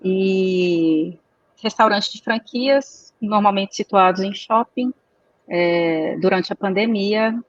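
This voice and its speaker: A woman, a guest answering interview questions in a measured, reflective way. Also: mid-range voice, dry recording. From Minas Gerais, Brazil. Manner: measured, reflective, searching for words. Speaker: guest, chamber of commerce executive. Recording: dry, dull recording.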